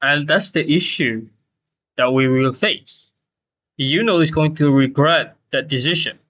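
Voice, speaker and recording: Male streamer, fairly high voice, casual live game commentary, repetitive emphatic explanations, slightly muffled lo-fi mic. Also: measured pace, fairly bright tone.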